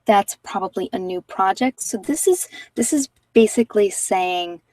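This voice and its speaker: A woman giving a casual, halting tarot reading and chatting with viewers as she thinks aloud. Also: mid-range voice, animated, darkish tone, dry recording, far from the mic, poor audio. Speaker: host of a live card-reading session.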